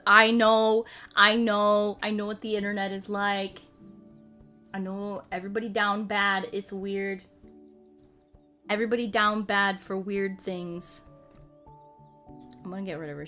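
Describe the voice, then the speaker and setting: Woman, bright voice, slow pace, casual livestream chat, playful, repetitive phrasing, music behind. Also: medium pitch. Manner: playful, repeats phrases for comic effect. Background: background music.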